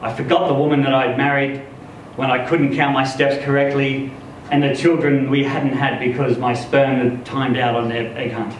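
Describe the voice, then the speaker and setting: A man giving an expressive live reading of a first-person literary story, acting out the dialogue as he goes. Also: medium pitch, normal speed, hardly any pauses. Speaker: story reader. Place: live reading event in an echoey room. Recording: echoey room, distant mic, noisy.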